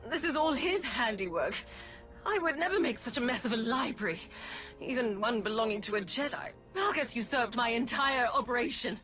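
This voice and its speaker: An actress playing a pompous, scornful Sith lord scientist in a video game, with elaborate, disdainful diction. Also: female voice, high voice, pushed and bright. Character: haughty, theatrical female Sith lord villain, scornful scientist. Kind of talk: video game villain acting.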